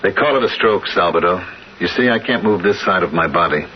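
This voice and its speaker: An actor playing a bitter, weary, older invalid father, speaking drily and deliberately in a 1970s radio drama. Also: low-pitched, lively intonation. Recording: muffled, noisy old radio broadcast.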